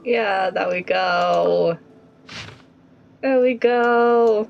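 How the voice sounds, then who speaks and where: A woman's voice: medium pitch, very slow, gravelly, slight background noise. A woman doing casual, chatty gameplay commentary, thinking aloud and reacting expressively as she plays. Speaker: gaming streamer. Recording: slightly dull, game music behind. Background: faint game music.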